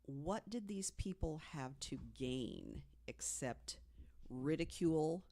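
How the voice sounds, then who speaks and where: Earnest mid-pitched podcast guest telling stories. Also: animated, takes it slowly, mellow. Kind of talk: casual storytelling discussion.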